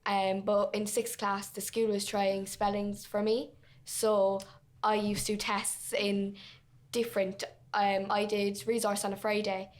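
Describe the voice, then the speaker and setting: A woman's voice: medium pitch, a little slow. A fifteen-year-old girl answering interview questions in a plain, slightly shy way. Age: teenager. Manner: plain, steady, a bit shy with ums. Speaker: guest.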